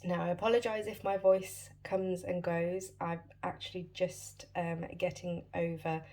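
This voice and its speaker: A woman's voice: on the low side, level tone, measured pace, dark tone. A British woman hosting a casual budgeting vlog, a bit wheezy and short of breath as she gets over a chest infection, chatty and apologetic with many ums. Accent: British English. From United Kingdom. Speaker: budgeting channel host.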